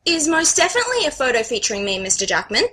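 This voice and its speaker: Lady acting as a brash showbiz agent, really high voice, swoops around, pompous, comedy audio play. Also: sing-song, rapid-fire, bright, forceful tone, heavily acted. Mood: defensive. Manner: pompous, theatrical. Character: brash, dubious female talent agent, self-important.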